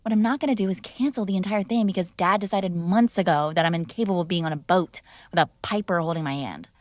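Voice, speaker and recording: A woman's voice: on the low side, fast talker, no echo, close to an everyday voice. A woman playing a spoiled, defiant mob boss's young daughter in a conversational, argumentative ASMR roleplay. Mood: exasperated, coaxing. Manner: conversational, sighing, coaxing and argumentative. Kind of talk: ASMR girlfriend roleplay, one-sided conversational acting.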